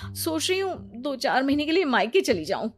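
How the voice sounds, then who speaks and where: A woman's voice: high-pitched, big pitch swings, normal speed, faint music behind. A woman voicing a fed-up young wife in a cartoon family story, complaining melodramatically. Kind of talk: Hindi cartoon story acting.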